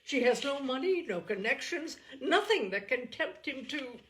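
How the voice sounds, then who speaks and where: A woman voicing an agitated young gentlewoman from a classic novel, breathless and near tears, with anguished emphasis in an audiobook reading. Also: high voice, big pitch swings, measured pace, pitched above the performer's own voice, exaggerated voice. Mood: distressed, tearful. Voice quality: breathless, breaking voice.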